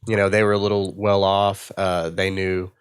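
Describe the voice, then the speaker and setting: Texan man, comedian guest, dry voice on the low side, loose rambling delivery, dry close sound. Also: level tone, moderate pace, clean tone, dry recording. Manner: loose, rambling, laughing storyteller. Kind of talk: casual podcast chat.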